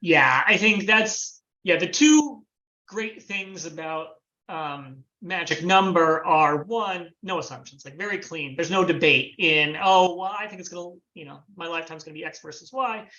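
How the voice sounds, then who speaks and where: A man walks through a financial model over a video call. His voice is bright and crisp, and his delivery is measured and explanatory, with frequent "um"s and "sort of"s. The call audio is dull and lo-fi. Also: fairly high pitch. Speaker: former finance planning head, guest.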